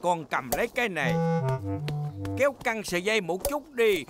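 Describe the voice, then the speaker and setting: A man's voice: high-pitched, big pitch swings, moderate pace, over loud music. A man voicing a helpful, jolly old grandfather pig in a dubbed children's cartoon.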